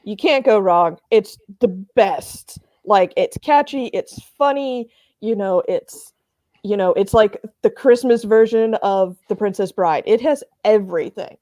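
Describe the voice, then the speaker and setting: A woman's voice: mid-range voice, a little slow, mellow, dry recording. A woman chatting casually as a panelist, emphatic and informal in the way she talks. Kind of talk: casual chat.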